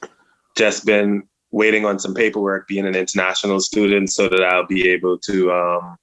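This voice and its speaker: A young man from the Bahamas answers interview questions over a video call. His voice is very deep and sits almost flat, and he speaks calmly, pausing often with ums. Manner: calm, hesitant, many ums. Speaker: student team member.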